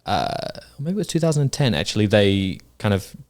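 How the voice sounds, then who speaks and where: Young male guest from England, low resonant voice, loose and conversational. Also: a little fast. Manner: relaxed, laughs often, hesitant fillers. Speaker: podcast guest. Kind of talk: casual chat.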